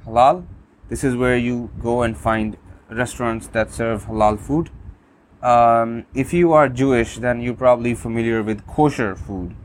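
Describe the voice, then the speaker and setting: A man whose first language is Urdu, calmly demonstrating a phone app, with many fillers and restarts. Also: mid-range voice, unhurried, a little hiss or hum. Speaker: app reviewer.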